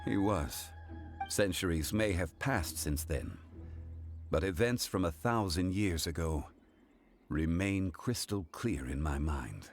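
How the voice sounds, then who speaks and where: A calm, ageless god. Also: male voice, low-pitched, heavily acted. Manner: calm, measured, dignified. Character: composed ageless male god in human form. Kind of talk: game voice acting. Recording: game audio with faint music.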